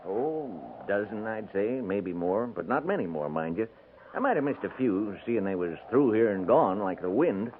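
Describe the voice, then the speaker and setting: Crusty frontier settler: rustic, talkative, swooping pitch, old narrow-band radio western sound. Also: male voice, sing-song, moderate pace. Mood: rambling, sly. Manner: rustic, garrulous, emphatic drawling talk. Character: cantankerous, folksy frontier settler man. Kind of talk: 1950s radio drama acting. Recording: narrow-band 1950s radio recording, faint noise.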